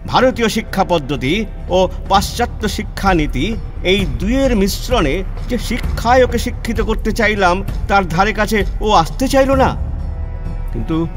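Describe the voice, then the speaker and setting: An actor playing an ageing, stern and wounded scholar-reformer in a declamatory, emotional audio-play monologue with dramatic pauses. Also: male voice, high voice, a bit bright. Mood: pained, resolute. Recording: music under the voice. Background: background music.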